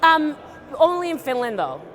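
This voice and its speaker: A young woman with a fairly high voice walks through tying a fly in a deadpan, playful way. She fills her talk with ums and likes. She jokes while she works. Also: normal speed. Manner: deadpan, playful, many fillers. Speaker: guest fly tier. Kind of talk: joking fly-tying demo.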